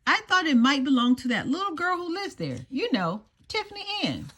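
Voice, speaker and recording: A woman's voice: high voice, sing-song, moderate pace, fairly bright tone, voice pushed up. A woman voicing a sheepish, chatty male neighbour in a children's story. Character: groaning, chatty male neighbour who borrowed the book.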